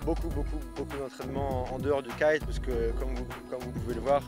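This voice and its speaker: A man's voice: mid-range voice, fairly even intonation, a little fast, over music. A young man from France, a kitesurf athlete, answering interview questions casually with many fillers and restarts. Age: young adult. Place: outdoors at a beach resort.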